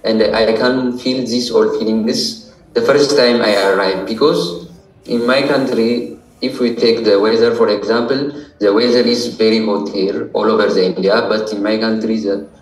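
A man's voice: lowish voice, fairly even intonation, moderate pace. A man in his twenties with an Ethiopian accent, giving careful, formal answers as an interview guest. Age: 20s. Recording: roomy echo, distant microphone.

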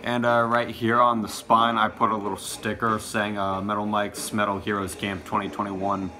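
A man's voice: fairly low pitch, completely flat delivery, normal speed, sharp tone, faint music behind. A young man in his teens or early twenties doing a casual show-and-tell to camera, recorded at arm's length in a small room at home. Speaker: music collection vlogger.

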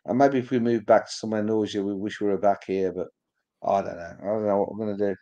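Man, middle-aged, low voice, rambling, lots of fillers, video call. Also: steady tone, fast, dark tone, lo-fi sound. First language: Polish. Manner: rambling, self-deprecating, lots of "you know". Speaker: livestream co-host. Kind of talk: casual chat.

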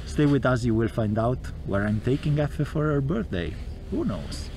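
A man's voice: medium pitch, big pitch swings, normal speed, darkish tone, a little hiss or hum. A man in his thirties with an Italian accent, hosting a casual travel vlog in a playful, teasing way, speaking English with small slips outdoors in the snow. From Italy. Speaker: vlog host. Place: outdoors in snow at a car charging station.

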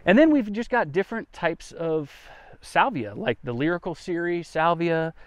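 A man's voice: on the high side, expressive, moderate pace, no room sound. A man hosting a garden tour outdoors, talking in a folksy, conversational way as he explains things casually. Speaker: gardening vlogger, host.

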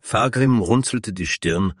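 A man's voice: on the low side, slow, husky, no echo, clean studio sound. A man reading scripted narration for a fantasy story as its narrator.

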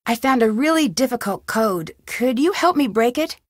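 A woman's voice: fairly high pitch, expressive, moderate pace, dry recording, clean studio sound. A woman voicing an inquisitive, friendly young amateur sleuth in a video game, speaking in a questioning, conversational way. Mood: probing. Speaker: game protagonist. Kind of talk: video game dialogue lines.

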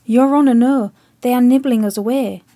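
A woman voicing a terrified young sailor in a spoken story, pleading loudly and desperately. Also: on the high side, normal speed, no echo, voice pushed up, close to an everyday voice. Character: terrified young sailor driven half mad, male.

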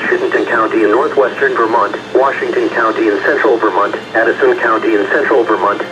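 A synthesized male voice reading a weather warning bulletin in a steady, evenly paced manner, played through a radio speaker in a living room. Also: medium pitch, low-quality recording. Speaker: automated weather alert announcer.

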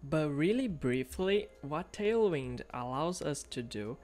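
A man's voice: on the high side, animated, takes it slowly. A man whose first language is Portuguese, narrating a coding project in an upbeat, casual voice-over. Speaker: web developer vlogger. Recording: soft background music under voice.

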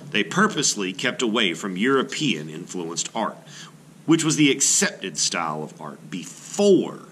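Low-voiced man reading aloud, very animated. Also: measured pace, slight background noise. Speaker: art teacher reading aloud. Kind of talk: read-aloud narration of a children's nonfiction book.

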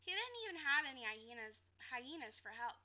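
A woman's voice: high-pitched, very animated, fairly quick, pushed and bright. A young adult woman reading a storybook aloud like a teacher, carefully, with some stumbles and repeats. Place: living room, seated on a couch. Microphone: webcam at a short distance. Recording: dull lo-fi webcam audio.

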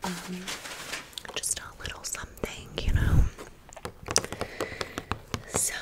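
A young adult American woman whispering a casual ASMR storytime close to the microphone, rambling with sassy, emphatic asides. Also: slow pace. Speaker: ASMR video host.

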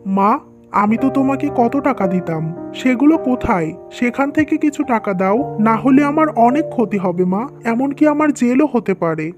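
A woman's voice: a little high, expressive, normal speed, natural voice. Woman acting young husband in a cartoon, music behind. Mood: hurt. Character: stern, hurt young husband and son. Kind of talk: dubbed cartoon drama dialogue.

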